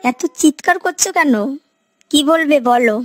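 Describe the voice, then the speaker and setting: Cartoon daughter-in-law, played by a woman: very high pitch, quarrelsome, snappy, scheming complainer. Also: measured pace, faint music behind. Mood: irritated complaining. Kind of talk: cartoon moral story voice acting.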